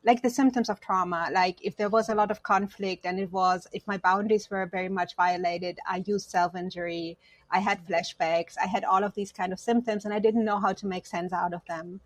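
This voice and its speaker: A woman's voice: mid-range voice, steady tone, fairly quick, no room sound. A woman from Germany, not an English speaker, speaking reflectively about personal matters as an interview guest. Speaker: podcast guest, counselor.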